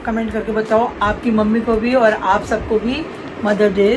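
A woman's voice: medium pitch, level tone, unhurried, noisy background. A woman chatting casually with family at home, greeting the viewers cheerfully.

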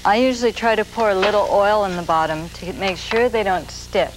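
A woman in her forties hosting a TV cooking show, explaining a recipe in a slow, gentle, soft-spoken way from a studio kitchen set. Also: mid-range voice. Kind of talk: TV cooking demonstration. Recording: grainy 1980s videotape with noise.

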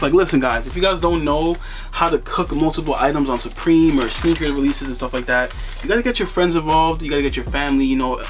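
Laid-back young man chatting casually. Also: medium pitch. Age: young adult. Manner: laid-back, chatty, lots of "you know" fillers. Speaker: sneaker and streetwear vlogger. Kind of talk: casual unboxing vlog. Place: bedroom with sneaker boxes, indoors.

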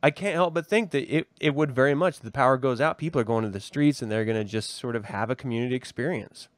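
An American man hosting a casual discussion, speaking reflectively with halting phrasing and the odd swear word. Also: medium pitch, no room sound. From Ogden, Utah, United States. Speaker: host of a reading and discussion show.

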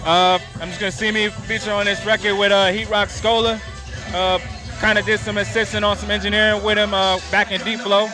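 A man interviewed in a dark nightclub, talking relaxed with many fillers about a record. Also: high-pitched, brisk. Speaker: featured performer and engineer. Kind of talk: casual interview. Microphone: handheld mic held by interviewer. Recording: music playing behind.

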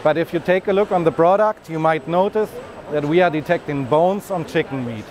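A man's voice: on the high side, a little fast, darkish tone. A man in his thirties giving a walking product demonstration as a business development manager, explaining and pointing as he goes. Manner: explanatory, walks and points while talking. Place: large busy trade fair hall. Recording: noisy trade fair hall.

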